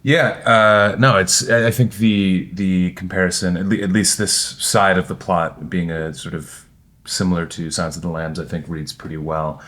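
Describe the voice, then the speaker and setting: Male actor in conversation, deep pitch, thoughtful and a little halting as he analyses. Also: fairly even intonation, a bit bright. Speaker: table read actor. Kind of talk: casual analytical discussion.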